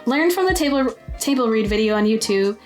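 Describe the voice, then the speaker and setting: A young woman hosts a relaxed interview in a voice pitched a bit high. Her talk is informal and giggly, like a chat between friends. Soft music runs underneath. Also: a little fast. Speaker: interview host.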